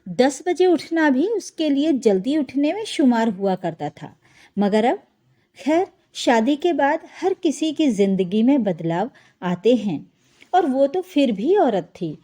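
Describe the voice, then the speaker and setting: A woman's voice: high-pitched, mellow. A woman narrating a scripted family drama story as a storyteller.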